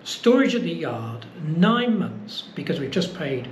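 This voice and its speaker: A man's voice: a little high, measured pace. A man, casually explaining costs to camera with plenty of fillers. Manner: relaxed, explaining with many uh fillers. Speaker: boat-building vlogger. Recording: echoey room with faint noise.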